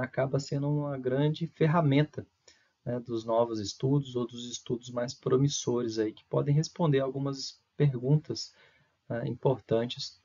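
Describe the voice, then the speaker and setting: A man's voice: medium pitch, darkish tone, muffled recording. A man from in Brazil, lecturing to students in a hesitant way, with many fillers, restarts and tag questions. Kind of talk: recorded university lecture.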